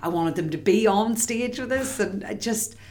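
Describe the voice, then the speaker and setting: An actress with an Irish accent, a woman, chatting excitedly in an interview with many quick restarts. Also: medium pitch. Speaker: musical theatre actress, guest. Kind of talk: casual interview chat.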